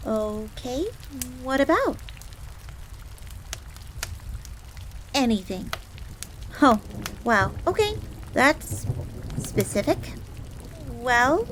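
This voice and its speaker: Casting: actress for jealous, flustered fox servant girl, roleplay audio drama. Higher female voice, prim formal phrasing, faint rain noise behind. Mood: awkward, flustered. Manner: formal, refers to herself in third person. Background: rain on a surface.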